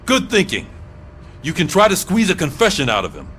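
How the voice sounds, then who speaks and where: A man's voice: fairly high pitch, wildly swooping pitch, fairly quick, a bit bright, slight background noise. An actor playing an authoritative male police sergeant in a video game, emphatic and commanding. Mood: approving. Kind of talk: video game cutscene acting.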